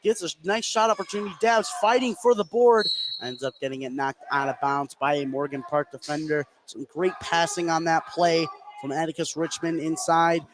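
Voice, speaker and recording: A man's voice: fairly high pitch, steady tone, moderate pace, few pauses. A man doing live play-by-play radio commentary on a basketball game, describing the action in short running phrases. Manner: clipped present-tense running description. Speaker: play-by-play sports announcer. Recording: live broadcast with clear background noise.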